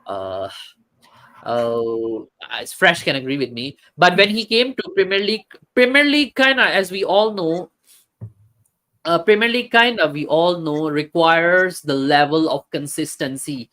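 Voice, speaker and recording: A man's voice: a little high, animated, a little slow, bright, forceful tone. A man hosting a casual football talk stream, chatting with viewers and reading comments, hesitant with many fillers and restarts.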